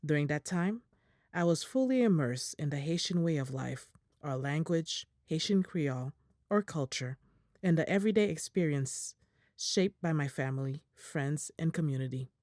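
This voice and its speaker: Low-voiced woman reading a statement. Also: expressive, moderate pace, a little mellow, no echo, studio quality. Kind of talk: reading a prepared statement aloud.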